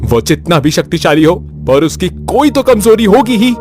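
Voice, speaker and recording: A man's voice: high voice, sing-song, normal speed, over music, voice pushed up. A man voicing a determined, agitated young man in a horror cartoon, speaking with urgent intensity. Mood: defiant. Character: determined, agitated young man fighting a shape-shifting demon.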